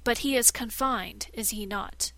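A woman voicing a poised young princess who speaks courtly and calmly, even of cruel things. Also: fairly high pitch, measured pace, dry recording. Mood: concerned. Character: cool, calculating young princess.

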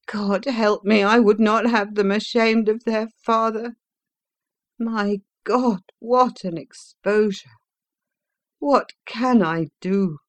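Woman voicing a desperate shamed gentleman. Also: on the high side, measured pace, no room sound, voice pushed up, close to an everyday voice. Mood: anguished. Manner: anguished, passionate pleading. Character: shamed middle-aged gentleman, desperate and pleading. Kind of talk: audiobook character reading.

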